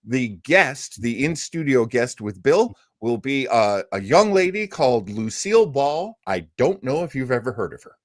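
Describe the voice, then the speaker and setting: A man's voice: mid-range voice, sing-song, moderate pace, few pauses, dry recording, studio quality. A man hosting a show, chatting casually and introducing old radio programs with jokey asides. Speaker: host.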